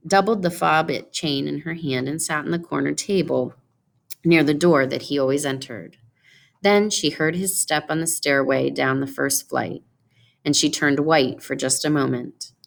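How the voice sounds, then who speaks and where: Low-pitched, creaky-voiced woman narrating. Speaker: story reader. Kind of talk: expressive scripted storybook narration.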